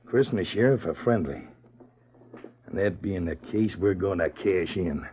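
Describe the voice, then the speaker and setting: An actor playing a tough, vengeful outlaw gang leader in a 1940s radio western, with rough, drawling frontier talk. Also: male voice, low-pitched, a little slow. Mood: suspicious, scheming. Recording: dull, muffled old 1940s radio recording.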